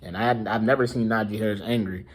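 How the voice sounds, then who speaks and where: A man's voice: fairly low pitch, steady tone, fast, rough recording. A tired young man who is losing his voice gives a rambling, casual recap of a football game he watched, filling his talk with "you know". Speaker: sports fan vlogger.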